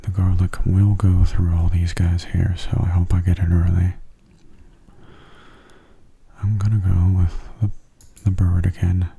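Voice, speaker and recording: A man giving soft-spoken ASMR commentary on a game in a gentle, unhurried, casual way, his hushed voice often slipping into whispers. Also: sits low, little pitch movement, vocal fry, breathy edge. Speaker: gameplay video host.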